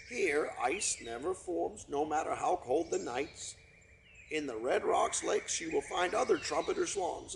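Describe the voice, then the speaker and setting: A man's voice: sits high, gravelly, a little hiss or hum, voice pushed up, exaggerated voice. A man voicing a pompous, long-winded father trumpeter swan who makes grand speeches to his children.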